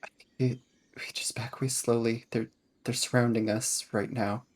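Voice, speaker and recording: A man's voice: medium pitch, flat delivery, normal speed. A man playing a tabletop roleplaying game, improvising his character's words and actions in casual talk.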